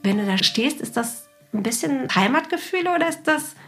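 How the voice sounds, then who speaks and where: A woman's voice: sits high, expressive, brisk, a bit bright, soft background music. A woman from a German North Sea island, hosting a podcast with casual, chatty, teasing questions. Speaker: podcast host, writer. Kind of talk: casual conversational interviewing.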